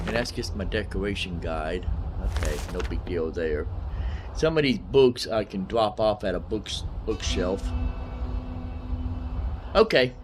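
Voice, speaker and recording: A man's voice: low-pitched, a little slow, background noise. A man giving casual gameplay commentary, rambling, thinking aloud and repeating himself as he goes. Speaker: gaming YouTuber.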